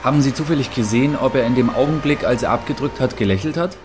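Actor as male radio commentator, contrarian, philosophizing, skeptical, medium pitch, noise behind. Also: quick pace, natural voice. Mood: probing. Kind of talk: radio play studio commentary.

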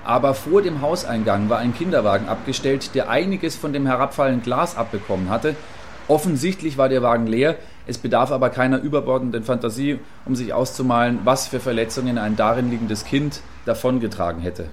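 A man playing an earnest field reporter in a radio play, giving wordy, factual and deliberate live reports. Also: mid-range voice, a little hiss or hum, natural voice. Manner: factual, deliberate, long-winded.

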